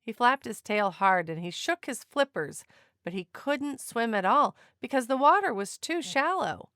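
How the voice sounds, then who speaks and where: A woman narrating a children's picture book aloud in an expressive, deliberate storytelling manner. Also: on the high side, dry recording, studio quality.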